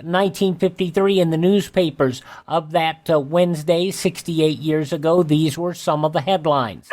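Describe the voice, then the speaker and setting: A man with an American accent reading scripted news and show introductions as a radio host, in measured announcer phrasing. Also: high voice.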